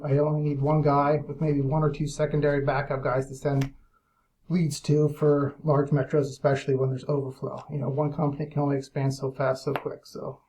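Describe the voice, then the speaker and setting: Male, somewhat higher-pitched voice; blunt, emphatic business owner talking informally to clients. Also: darkish tone, poor audio. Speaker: lead generation business owner. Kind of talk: informal monologue explainer to clients.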